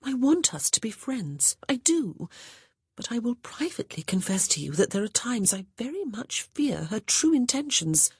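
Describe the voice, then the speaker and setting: A woman's voice: on the high side, very animated, normal speed, darkish tone, slightly rough, no echo, studio quality, pitched above the performer's own voice, heavily acted. A narrator reading a clever young society woman who speaks sweetly and with mock innocence.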